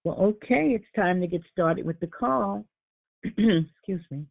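A woman hosting a prayer conference call, greeting each caller with repeated good mornings and affectionate names. Her voice can get a little raspy. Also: lowish voice, animated, unhurried, no room sound. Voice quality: gets raspy. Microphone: telephone. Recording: tinny phone line.

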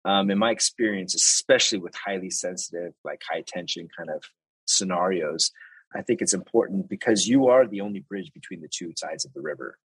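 Deep husky man explaining his trade. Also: a little fast, fairly bright tone, no echo, low-quality recording. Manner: explains step by step with examples, many restarts. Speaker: guest, translator and interpreter. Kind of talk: casual interview talk.

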